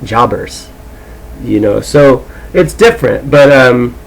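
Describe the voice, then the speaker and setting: A man's voice: medium pitch, lively intonation, slow, vocal fry, low-quality recording. A man with an irreverent, foul-mouthed manner, talking casually and reading aloud as an amateur audiobook reader.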